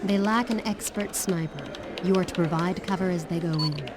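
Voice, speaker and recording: An actress playing a brisk, businesslike intelligence handler giving mission briefings in a video game. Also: female voice, lowish voice, close to an everyday voice. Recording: clearly noisy game cutscene audio.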